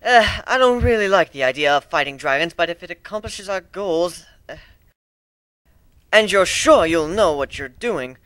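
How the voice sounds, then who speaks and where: A reluctant, nervy mare magician, acted by a man in a fan fantasy reading. The voice sits high with a bright tone, halting and stammering as it goes. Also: lively intonation, no room sound. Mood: nervous, hesitant. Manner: hesitant, stammering.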